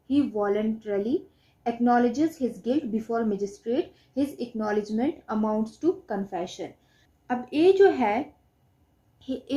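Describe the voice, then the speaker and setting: A woman's voice: on the high side, warm tone. A woman with a Pakistani accent giving an explanatory lecture, reading out notes and explaining them in a didactic way, often posing questions and answering them. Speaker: law lecturer. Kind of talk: explanatory lecture mixing read-out notes with examples.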